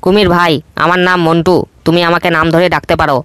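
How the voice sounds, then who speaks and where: Cartoon monkey, male, young, helpful, high and fast; man doing the voice. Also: flat delivery, a bit bright, smooth, natural voice. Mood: friendly. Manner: quick, high and friendly. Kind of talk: cartoon voice acting.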